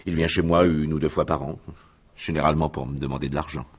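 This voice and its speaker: A snooty businessman suspect in a french radio mystery, super deep husky voice, formal, guarded. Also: fairly even intonation. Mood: disdainful, guarded. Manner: formal, disdainful, measured with hesitations. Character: haughty, indignant male company director under questioning. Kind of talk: 1950s-style French radio crime drama acting.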